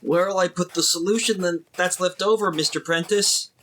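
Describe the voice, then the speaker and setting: A man's voice: sits high, fairly even intonation, normal speed, a bit bright, slight background noise. A young man playing an earnest, flustered boy lab helper in a retro radio drama, hesitant, stammering and pleading. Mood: questioning. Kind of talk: retro 1940s-style radio drama acting.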